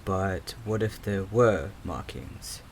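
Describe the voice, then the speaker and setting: A man acting a hesitant psychic investigator in an audio drama, speaking in a halting, earnest, stammering way. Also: low voice, slight background noise. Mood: earnest, careful. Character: hesitant young male psychic investigator with lost memories.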